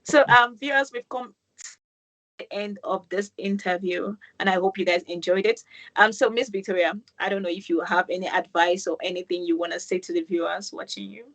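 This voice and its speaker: Woman host, effusive, lots of ums, mid pitch. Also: fairly even intonation, fairly quick, fairly bright tone, low-quality recording. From England, Manchester. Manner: effusive, keeps calling things beautiful, many "um"s. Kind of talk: interview host wrap-up.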